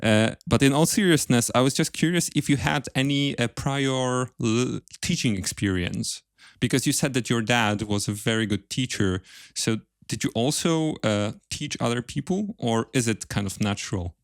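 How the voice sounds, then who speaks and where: A man's voice: medium pitch, normal speed, no echo, clean studio sound. A man hosting an interview podcast, speaking as presenter and interviewer. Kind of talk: podcast interview, with scripted sponsor read.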